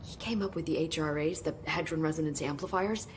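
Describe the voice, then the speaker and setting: An actress plays an earnest, eager researcher at a secret agency, talking through plans with soft sighs. Her voice sits a touch low, with a faint hiss beneath it. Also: brisk, natural voice. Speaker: video game character. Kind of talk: cutscene dialogue.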